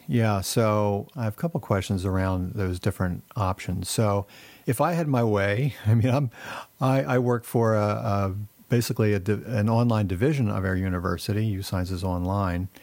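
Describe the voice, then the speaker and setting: A man's voice: lowish voice, moderate pace, a little mellow, no echo. A man hosting a podcast, reading the intro and sponsor notes, then asking friendly questions with fillers. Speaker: podcast host.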